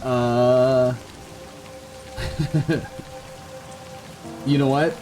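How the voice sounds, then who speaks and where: A man's voice: medium pitch, steady tone, drawn-out words, a little mellow, low-quality recording. A man hosting a gaming video, moving between casual chat and dramatic reading of the game's text. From Canada. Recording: background music under the voice.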